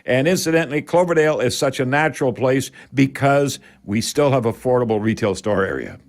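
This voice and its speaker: A man's voice: medium pitch, expressive, a little fast. Folksy older Canadian radio host man. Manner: rambling, emphatic, addresses listeners as folks. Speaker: radio host of a home improvement call-in show. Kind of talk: folksy talk radio hosting.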